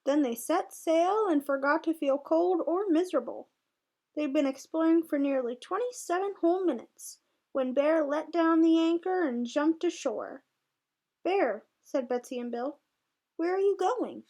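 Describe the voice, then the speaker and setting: A woman tutor reading a storybook aloud to young children in an expressive way. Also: sits high, moderate pace. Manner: expressive, voices the characters' dialogue.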